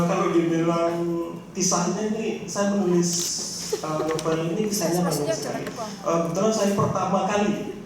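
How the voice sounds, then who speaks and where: A man from Indonesia speaking at a writing seminar, telling his story with many fillers and self-deprecating jokes. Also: high-pitched. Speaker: guest speaker, novelist. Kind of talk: casual talk at a seminar. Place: seminar room. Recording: echoey room, distant mic, noisy.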